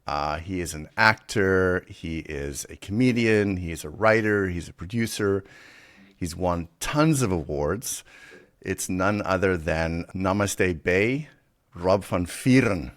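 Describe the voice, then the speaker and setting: A South African man with a partly American accent hosting a podcast interview, animated and quick to laugh, often restarting sentences. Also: deep voice. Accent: South African with American influence. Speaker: podcast host. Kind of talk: casual interview chat.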